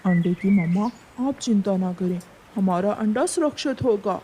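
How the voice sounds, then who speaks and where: A woman voicing a calm, resigned male bird who consoles his wife in a cartoon, measured and soothing. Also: medium pitch, a little hiss or hum, natural voice. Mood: reassuring. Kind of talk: Urdu cartoon voice acting.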